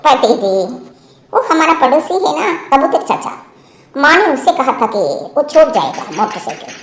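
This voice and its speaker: A woman's voice: sits high, very animated, normal speed, voice pushed up. Woman as a meek young crow bride, echoey. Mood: dutiful. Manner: respectful, pleading. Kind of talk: Hindi cartoon story character acting.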